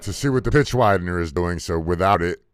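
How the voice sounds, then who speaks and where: Deep-voiced man rambling through a mixing tutorial. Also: quick pace. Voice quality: deep voice, muffled by a faulty mic input. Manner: rambling, loose, with fillers and mild swearing. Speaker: music producer teaching mixing. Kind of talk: casual screen-recorded tutorial. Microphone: desktop mic into recording software.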